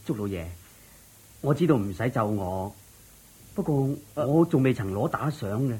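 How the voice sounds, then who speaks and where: A man's voice: medium pitch, expressive, moderate pace, mellow, breathy edge. A man playing a humble, deferential court musician in a Cantonese radio drama, speaking meekly and politely. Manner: meek, polite, subdued. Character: humble, deferential male court musician asking for his due.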